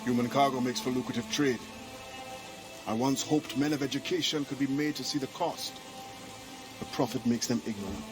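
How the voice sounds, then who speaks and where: An actor playing a proud, principled assassin and ship captain, speaking in a steady, dry and formal way. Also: male voice, medium pitch, moderate pace, long pauses, close to an everyday voice. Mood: reserved. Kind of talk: video game cutscene acting. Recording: game cutscene with music behind.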